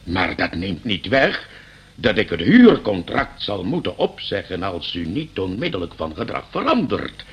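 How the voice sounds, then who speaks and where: An actor playing a stern, condescending landlord in a radio play, lecturing with pompous mock courtesy. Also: male voice, low-pitched, very animated, a little slow, slightly rough, exaggerated voice. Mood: stern, indignant. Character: stern, condescending landlord scolding his eccentric tenant.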